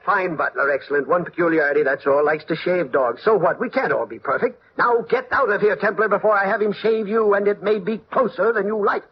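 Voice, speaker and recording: A man's voice: high-pitched, fairly quick, hardly any pauses, close to an everyday voice. A man acting a blustery, irascible, wealthy father in a 1940s radio drama, sputtering through his lines. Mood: irate, curt. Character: blustering, hot-tempered wealthy father of the house. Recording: muffled old radio recording, faint hiss.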